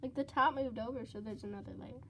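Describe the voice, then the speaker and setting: A teenage girl vlogging casually with a stuffy, congested voice from a cold, chatty and excitable. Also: on the high side, quick pace, slight background noise. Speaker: slime vlogger. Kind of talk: casual vlog chat.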